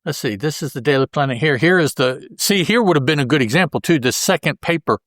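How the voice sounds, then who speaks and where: A man's voice: on the high side, animated, fast, a bit bright, no room sound, studio quality. A man co-hosting a podcast, talking through comic books in a casual, rambling, conversational way. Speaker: podcast co-host. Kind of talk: casual comic book discussion.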